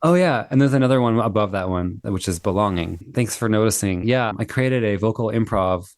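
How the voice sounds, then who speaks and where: Upbeat low-voiced man on a video call. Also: fairly quick, no echo, clean studio sound. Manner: upbeat, enthusiastic, affirming. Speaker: guest, singer-songwriter. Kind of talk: casual interview chat.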